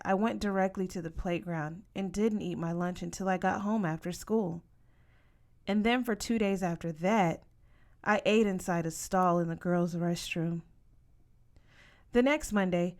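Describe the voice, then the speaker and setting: A woman narrating a first-person story as an audiobook reader, with expressive delivery. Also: medium pitch.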